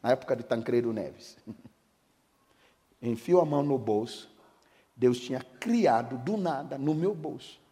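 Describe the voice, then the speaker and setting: A middle-aged man with a Brazilian Portuguese accent, a pastor giving a sermon with personal testimony. He moves between hushed storytelling and shouted preaching. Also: mid-range voice, takes it slowly, pauses often. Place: church hall. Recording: echoing hall, long reverb.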